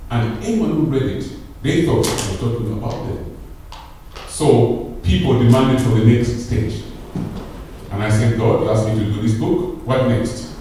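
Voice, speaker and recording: A man's voice: medium pitch, a little mellow. Man giving an opening address, preacher-like emphasis, heard from far off in a very echoey hall with faint room noise. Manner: preacher-like, rises to emphatic peaks. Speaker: conference founder giving opening speech. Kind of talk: public speech. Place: large hall. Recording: echoey hall, distant mic.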